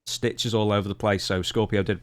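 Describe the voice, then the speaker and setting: A man's voice: on the low side, little pitch movement, a little fast, dry recording. A man co-hosting a podcast, talking casually as he recaps news and puts questions to his partner.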